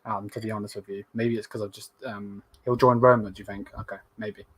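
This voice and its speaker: A slightly hoarse man with a fairly low voice hosts a casual live stream, chatting loosely and filling gaps with plenty of ums. His voice is recorded dry and close, with hardly any room sound. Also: a little fast, no room sound. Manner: rambling, many fillers, relaxed and hesitant. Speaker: host of a live fan stream. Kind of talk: casual live-stream chat reading comments.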